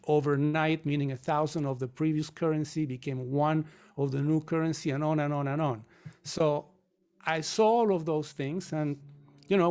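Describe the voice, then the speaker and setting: Higher-voiced man from Chile, reflective. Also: a little mellow. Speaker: guest, mortgage company founder. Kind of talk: podcast interview answers.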